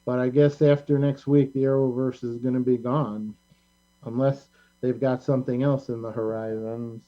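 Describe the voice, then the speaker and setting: A middle-aged man hosting a casual panel discussion, talking in a rambling, halting way. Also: mid-range voice, little pitch movement. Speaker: talk show host. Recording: muffled lo-fi audio with mains hum.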